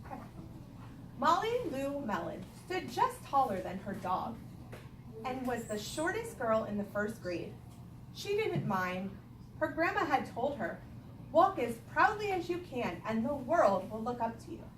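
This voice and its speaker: A woman leads a read-aloud lesson as an elementary school teacher, with a highish voice that swings up and down. She questions the children and repeats their answers. The recording is echoey and distant, with clear background noise. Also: sing-song, measured pace. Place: classroom with young children. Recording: echoey room, distant mic, noisy background.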